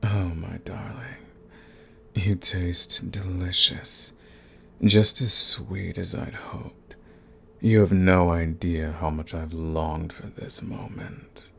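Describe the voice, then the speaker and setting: A man voicing a dominant, possessive, seductive vampire in an intimate ASMR roleplay, coaxing and condescending, at times in a breathy whisper. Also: low-pitched, level tone, takes it slowly, a bit bright, slight background noise, heavily acted. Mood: seductive, menacing.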